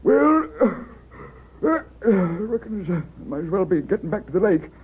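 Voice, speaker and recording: An actor playing a worried, humble small-town boathouse owner in a 1930s radio drama, with a rural American accent and a hesitant, stammering, folksy manner. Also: male voice, high-pitched, very animated, unhurried, a little hiss or hum. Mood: humbly grateful. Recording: muffled, tinny 1930s radio recording.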